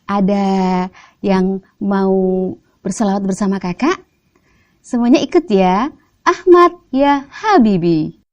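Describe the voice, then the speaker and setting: A woman's voice: medium pitch, slow, pauses often, lo-fi sound. A woman with an Indonesian accent telling stories to children in a playful, coaxing way, often prompting them with questions. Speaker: children's storyteller. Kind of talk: animated storytelling addressed to children.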